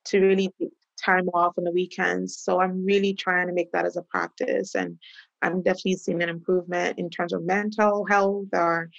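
A woman from Ghana, a guest on a podcast, talks about her work and routines in a relaxed, reflective, conversational way. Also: fairly low pitch, moderate pace, gravelly, dry recording, lo-fi sound. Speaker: guest, tech professional and founder. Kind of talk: podcast interview.

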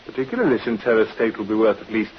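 A man's voice: fairly low pitch, animated, quick pace, lightly acted. A man playing a suspicious, insinuating relative in vintage radio drama, pointed and sardonic. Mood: suspicious, cutting. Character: suspicious, insinuating male cousin of an heiress. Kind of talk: 1950s radio drama acting. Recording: muffled old radio recording with hiss.

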